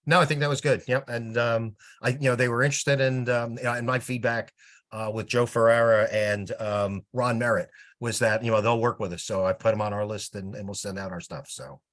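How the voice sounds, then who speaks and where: A man's voice: medium pitch, steady tone, fairly quick, a bit bright. A man giving an informal, rambling update as a volunteer coordinator on a conference call. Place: remote teleconference.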